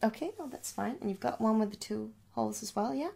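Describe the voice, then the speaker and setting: A young adult woman doing a soft, reassuring ASMR makeup store roleplay, chatting casually and repeating herself as a shopkeeper. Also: fairly high pitch, quick pace, creaky, a little hiss or hum. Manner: reassuring, repetitive, conversational. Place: small room at home.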